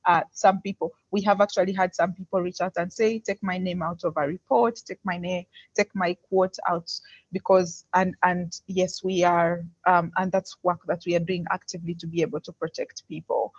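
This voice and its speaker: A woman's voice: on the low side, level tone, moderate pace, slightly rough. A woman giving a candid answer as a guest speaker on an online call, speaking in a hesitant, thoughtful way. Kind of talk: candid spoken answer in an online question session. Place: indoors on an online video call. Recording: muffled, roomy online call audio.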